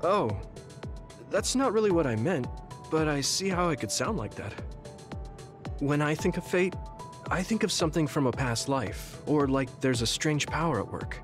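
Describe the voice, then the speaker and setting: An actor playing an earnest young male psychic soldier in a game, speaking gently and sincerely, with music behind. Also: on the high side, lively intonation, moderate pace, close to an everyday voice. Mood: reflective. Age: young adult. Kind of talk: video game dub acting.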